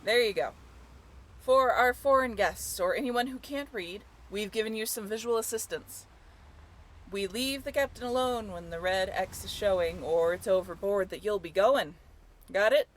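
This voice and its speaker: A woman's voice: medium pitch, lightly acted. A woman playing an upbeat young tour guide on a sailing ship in a scripted audio roleplay, with a chatty, playful way of talking. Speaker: role-play voyage guide.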